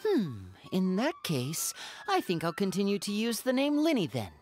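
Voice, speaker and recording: A young man voicing a playful stage magician in a game, smooth and teasing. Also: high voice, huge pitch swings, normal speed, a little mellow, soft background music.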